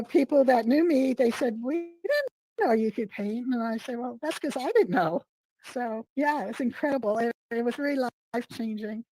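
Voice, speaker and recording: A middle-aged woman, hoarse from a cold, talking in a rambling way with many fillers as a guest on a video call. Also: high voice, darkish tone, low-quality recording. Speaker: guest artist. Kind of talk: casual interview chat.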